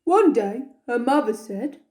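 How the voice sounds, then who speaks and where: A woman's voice: on the high side, expressive, measured pace, warm tone. A woman playing a jolly, childlike storyteller character, telling a children's bedtime story in a playful, exaggerated way. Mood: storytelling. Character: Gruff, jolly childlike storyteller character who loves cake.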